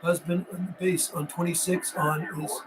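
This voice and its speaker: A man's voice: high voice, flat delivery, normal speed, background noise. A friendly, informal man from Canada doing casual live radio chat and call-outs as a CB operator. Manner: friendly, informal, repeats call signs and channel numbers.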